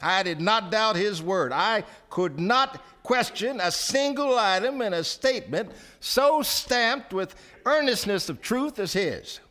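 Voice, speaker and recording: An actor playing a genteel, fretful gentleman who narrates in florid, formal language in a live radio play. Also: high-pitched, animated, a little slow, few pauses, full character voice. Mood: stunned, uneasy. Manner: florid, formal, melodramatic storytelling. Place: theater stage before a live audience.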